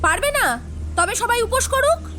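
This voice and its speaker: An actress playing a domineering, sharp-tongued elder sister-in-law in an audio drama, scolding and quarrelsome. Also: female voice, very high voice, sing-song, normal speed, a little hiss or hum, exaggerated voice. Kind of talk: Bengali audio drama acting.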